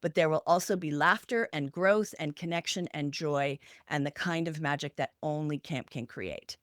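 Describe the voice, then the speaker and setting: Low-pitched woman podcast host, dry sound. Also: a bit bright, dry recording. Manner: earnest, encouraging, deliberate listed phrasing. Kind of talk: conversational podcast wrap-up, reflective advice.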